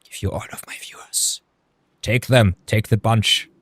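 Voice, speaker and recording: A man with a deep voice streaming a game, chatting casually with his viewers and musing over his choices as he plays. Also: fairly even intonation, unhurried, fairly bright tone, breathy edge, no room sound, whispered. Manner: chatty, talks to chat viewers, hums and mutters while thinking. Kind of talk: casual live-stream chat over gameplay.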